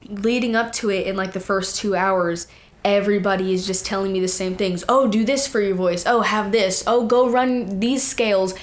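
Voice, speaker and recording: A teenage young woman, a guest singer, telling stories in casual, animated chat full of quoted asides. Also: medium pitch, normal speed.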